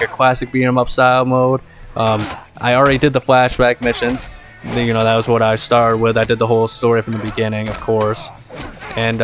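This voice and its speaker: A man's voice: mid-range voice, a bit bright. A young man giving casual, rambling game commentary as an enthusiastic fan hosting his own video. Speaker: gaming video host.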